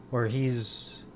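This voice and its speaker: A man's voice: medium pitch, heavy background noise. A man hosting a podcast in casual chat, rambling with many fillers and restarts. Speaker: podcast host.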